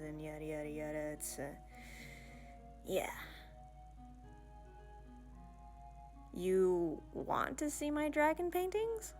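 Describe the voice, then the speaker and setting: A woman's voice: sits low, creaky. A woman playing an awkward, bashful young princess in a fantasy audio roleplay, hesitant and stammering, rambling in casual modern phrases. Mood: flustered, eager. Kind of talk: ASMR romantic fantasy audio roleplay, one-sided dialogue.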